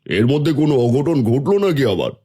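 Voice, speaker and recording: A man playing a fair-minded village headman in a cartoon fairy tale, asking questions in a calm, measured way. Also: medium pitch, a crowd in the background, close to an everyday voice. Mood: concerned.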